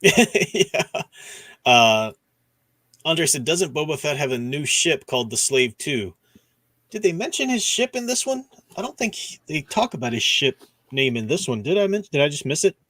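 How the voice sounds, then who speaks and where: A man's voice: on the high side, sing-song, moderate pace, fairly bright tone, dry recording. Man hosting with a very dry, close sound. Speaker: livestream host. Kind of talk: casual book discussion, reading viewer comments.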